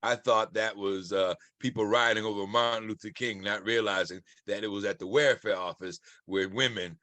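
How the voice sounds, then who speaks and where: An American man with a fairly low voice talks informally as an actor commenting at a Q&A. The sound is very dry, with no room around him. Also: fairly even intonation, moderate pace, bright, forceful tone, dry recording.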